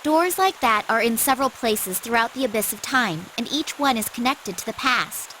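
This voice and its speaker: A woman voicing an earnest, girlish android who explains things gently, in an English anime game dub. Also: a little high, expressive, moderate pace, a little hiss or hum, low-quality recording. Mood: explaining.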